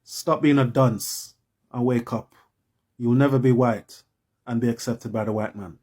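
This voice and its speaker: Man, husky, scolding lecture tone. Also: medium pitch, steady tone, a little slow. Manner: scolding, forceful. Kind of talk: lecturing rant in a clip.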